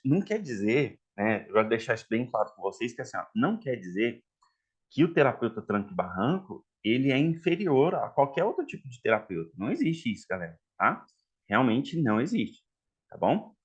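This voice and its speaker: A man's voice: medium pitch, breathy edge, highs cut off. A man from Minas Gerais with a mineiro Portuguese accent, teaching a chatty live online class for therapists and checking in with the audience often. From Minas Gerais, Brazil. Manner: chatty, addresses audience as "galera", frequent "tá?" and "né?". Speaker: online course instructor.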